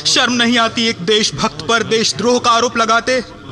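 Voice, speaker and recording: Radio play crowd role: outraged local, high, piercing, indignant. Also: female voice, steady tone, moderate pace, pushed and bright. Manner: indignant, exclamatory. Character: outraged townsperson cursing foreign invaders. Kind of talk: theatrical radio drama acting.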